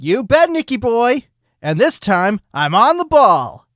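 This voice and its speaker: A man's voice: very high voice, sing-song, unhurried, no room sound, clean studio sound, pitched above the performer's own voice, full character voice. A man voicing Flash, a hyper male sloth witness who talks like a peppy fitness coach. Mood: pumped. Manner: loud, peppy coach-like shouting. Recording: music bed behind voice.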